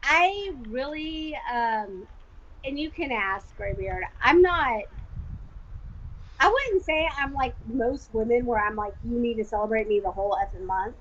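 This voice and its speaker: A woman's voice: a little high, expressive, unhurried, highs cut off, background noise. A woman in her forties co-hosting a casual online show, talking candidly and animatedly, with lots of filler words and the odd swear. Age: 40s. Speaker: co-host. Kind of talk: casual chat.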